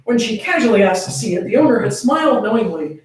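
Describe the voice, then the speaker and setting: A woman's voice: fairly high pitch, animated, fast talker, a little mellow. A playful, easily amused woman co-hosting a fan fiction reading, shifting between dramatic narration and casual chat. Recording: very echoey room, distant from mic.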